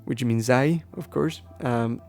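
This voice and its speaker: A man whose first language is Spanish, explaining things casually and haltingly as a podcast host, thinking aloud as he goes. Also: medium pitch, darkish tone, soft background music. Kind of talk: casual unscripted explaining to listeners.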